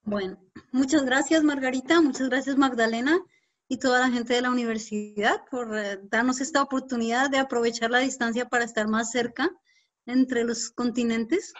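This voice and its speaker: A woman's voice: on the high side, steady tone, moderate pace. A woman with a Colombian accent giving an explanatory online presentation, hesitant and repeating words as she goes. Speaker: guest speaker, urban designer. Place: indoors, online video call. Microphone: video call microphone. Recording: dull lo-fi video call audio.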